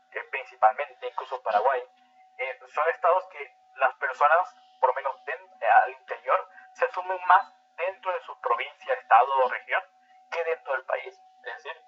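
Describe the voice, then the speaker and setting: Man, mid-range pitch, informal lecture, hesitant repetitive delivery, lo-fi muffled recording, faint music. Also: a little hiss or hum. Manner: hesitant, repetitive delivery, often restating phrases and checking understanding. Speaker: instructor of a political training class. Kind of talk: informal lecture explaining political integration.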